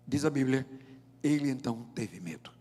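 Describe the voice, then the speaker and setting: A Brazilian man in his seventies preaching a sermon with deliberate, emphatic phrasing and rhetorical pauses. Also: mid-range voice, expressive, dark tone, breathy edge. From João Pessoa, Paraíba, northeast Brazil. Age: 70s. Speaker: pastor, preacher. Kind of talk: sermon with scripture reading and prayer. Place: large indoor church auditorium. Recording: long hall reverb of church auditorium.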